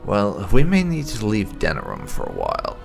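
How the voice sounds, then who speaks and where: Bloke roleplaying through a game, deep gravelly voice, faint music behind. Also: male voice, huge pitch swings, moderate pace. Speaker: gamer playing and voicing his character. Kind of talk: gameplay roleplay commentary.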